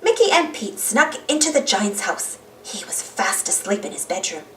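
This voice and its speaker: A woman reading a children's storybook aloud as an animated narrator. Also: mid-range voice, normal speed. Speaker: storybook reader. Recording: roomy, slightly distant mic.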